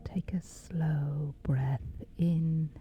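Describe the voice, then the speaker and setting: Very slow, whispery low-voiced woman. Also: fairly even intonation, a little hiss or hum. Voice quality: whispery, breathy. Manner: slow, hushed, pausing between short phrases. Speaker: sleep meditation guide. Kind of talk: guided sleep meditation, soft and breathy. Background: background music.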